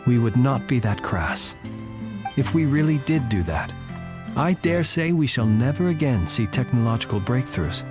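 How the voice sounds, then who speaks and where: A courtly, flattering gentleman from a video game, as a man plays him. His voice sits at a mid pitch but moves expressively through his polite phrasing. Game music with guitar plays clearly behind him. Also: normal speed, lightly acted. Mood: courteous.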